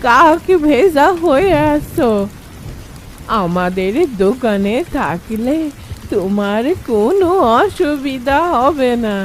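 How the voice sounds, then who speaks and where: A woman's voice: sits high, expressive, slow, a little hiss or hum. A woman voicing a kindly, caring old grandmother who runs a tea stall, in a cartoon story. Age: older. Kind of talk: Bengali cartoon story acting.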